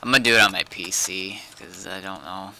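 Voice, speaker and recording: A male game streamer with a low, gravelly voice, talking casually as he plays. His tone is very sharp and forward, and some background noise sits behind him. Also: steady tone, fairly quick, rough recording. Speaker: game livestreamer. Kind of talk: casual gaming commentary and chat. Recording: background noise, keyboard typing.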